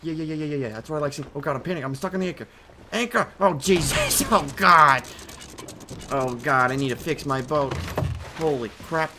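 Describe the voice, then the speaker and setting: A man with a put-on British accent, giving casual, excitable commentary over his own gameplay and swearing as he reacts. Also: a little high, brisk, noisy background. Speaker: gaming channel host.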